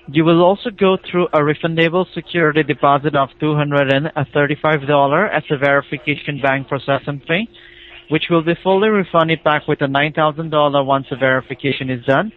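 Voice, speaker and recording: Indian guy on a phone call, thin tinny line, slightly high pitched, keeps explaining steps pushily. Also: steady tone, brisk. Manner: insistent, repetitive, procedural. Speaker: phone scam caller. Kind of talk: persuasive phone sales-style explanation. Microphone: telephone call.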